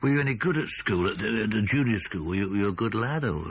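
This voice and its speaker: Quick, husky male interviewer. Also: on the low side, animated, no room sound. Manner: probing, direct questions. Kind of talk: conversational interview questioning.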